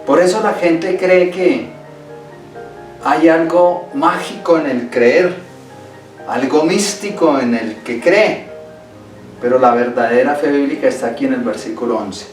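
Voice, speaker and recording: A man's voice: medium pitch, slow pace, pauses often, soft background music. A male pastor preaching a Sunday sermon in a church, emphatic and repeating key phrases for stress. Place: church sanctuary. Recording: echoey hall, voice from far off.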